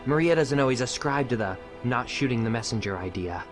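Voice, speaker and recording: A man's voice: medium pitch, lively intonation, fairly quick, over music, close to an everyday voice. A man playing a slighted young conspirator in an amateur audio drama, hesitant and careful, with an aggrieved manner. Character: resentful, cautious young male conspirator, the slighted one.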